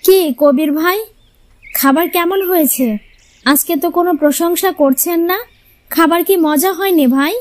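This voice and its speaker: A woman voicing a sharp-tongued, bossy young housewife in a cartoon tale, pointed and expressive. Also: very high voice, moderate pace. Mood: mock sweet. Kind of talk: Bengali cartoon fairy-tale voice acting.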